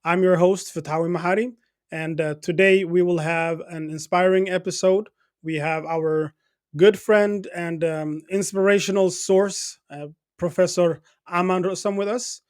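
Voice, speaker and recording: Guy hosting an interview, pretty high voice for a man, halting, repeats his words. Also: steady tone. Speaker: host of an interview programme. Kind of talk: interview with a guest.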